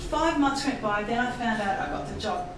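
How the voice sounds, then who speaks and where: A woman with an Australian accent giving a lively public talk about voice work, laughing often and mimicking accents. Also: a little high, fairly quick, recorded from a distance, background noise. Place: embassy hall. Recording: echoey hall audio from afar.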